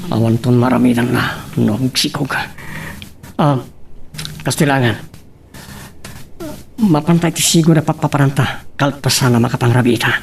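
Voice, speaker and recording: A man's voice: mid-range voice, moderate pace, creaky, long pauses, crowd noise, natural voice. A man playing a defiant, troubled young lover and younger brother in a radio soap. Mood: brooding, irritated. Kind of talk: Philippine radio soap acting.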